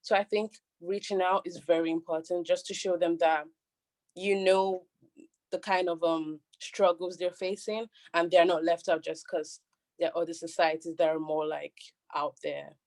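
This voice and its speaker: A woman's voice: lowish voice, level tone, moderate pace, a little mellow. A woman standing as a student candidate, answering on a video call in a repetitive way with many fillers. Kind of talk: answering a hustings question.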